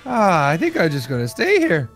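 A performer voicing a cheeky, excitable baby character in a playful, wide-eyed Minecraft roleplay. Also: mid-range voice, moderate pace. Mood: delighted. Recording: music behind the voice.

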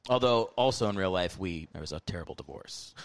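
A man in his thirties hosts a casual comedy podcast in a low voice. His pitch swings widely as he jokes, and he talks in quick, animated bursts with frequent laughs. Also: slight background noise. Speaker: podcast host.

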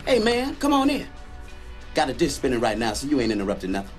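An actor playing a smooth-talking male rock radio DJ in a video game, with showy, laid-back American patter. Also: medium pitch, huge pitch swings, quick pace, soft background music. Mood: easygoing.

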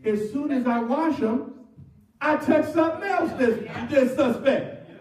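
High-voiced pastor preaching in a roomy church. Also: male voice, a little slow, recorded from a distance. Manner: animated call-and-response preaching. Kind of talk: sermon. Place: church sanctuary with cross wall.

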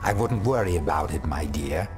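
An actor playing a sinister mad scientist in a video game, theatrical, condescending and coldly gloating. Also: male voice, a bass voice, expressive, moderate pace, music in the background. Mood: gloating menace. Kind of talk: video game villain acting.

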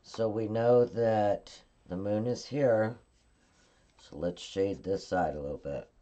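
A woman at her craft table giving a calm, step-by-step card-making tutorial while she works. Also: rumbling low, takes it slowly. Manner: calm, instructive, narrating her hands-on work.

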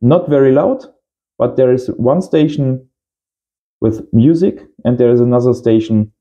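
Man in his thirties, slow pace, very dark gravelly voice, casual tutorial host, short phrases. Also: medium pitch, muffled recording. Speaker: host of amateur radio channel. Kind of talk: casual hands-on tutorial narration. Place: small indoor home workshop.

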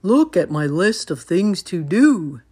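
A woman's voice: lowish voice, very animated, takes it slowly, darkish tone, breathy edge, exaggerated voice. A woman voicing a fretful, literal-minded male toad who is easily upset, in a children's storybook read-aloud. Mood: pleased, matter-of-fact.

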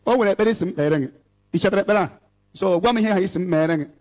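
A man's voice: high-pitched, racing, darkish tone. A Nigerian man in his thirties, an evangelist exhorting an outdoor crowd and switching between Igbo and English into a handheld mic. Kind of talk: preaching at an outdoor church rally. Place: outdoor stage before a large crowd. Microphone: handheld microphone.

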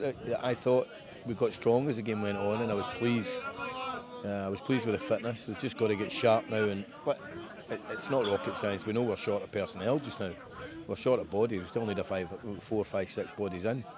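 Frank football manager in the wind. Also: male voice, on the low side. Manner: frank, conversational, plain-spoken. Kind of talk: post-match interview. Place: outdoors at a football ground, windy. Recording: outdoor recording with wind noise.

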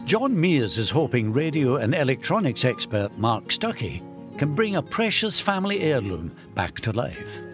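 A man's voice: medium pitch, very animated, moderate pace. A man reading scripted television narration as a voice-over. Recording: music under voice-over.

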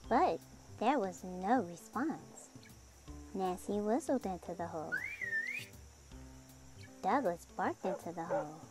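A woman narrating a children's picture book aloud in an expressive storytelling style. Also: mid-range voice, slow pace, long pauses. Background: music under the voice.

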